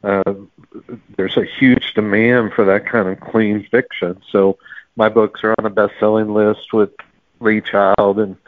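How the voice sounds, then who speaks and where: A man's voice: fairly low pitch, dry recording. A man speaking conversationally as an author being interviewed, on a narrow-band call. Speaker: novelist, interview guest.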